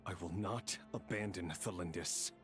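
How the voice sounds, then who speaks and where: Male actor as tormented, resolute game warrior: deep, intense, brooding delivery over prominent music. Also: moderate pace, close to an everyday voice. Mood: defiant. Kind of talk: dramatic video game monologue.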